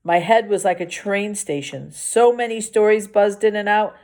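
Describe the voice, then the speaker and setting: A woman's voice: mid-range voice, moderate pace, a little mellow. A woman reading a children's novel aloud expressively, as a teacher does for a class.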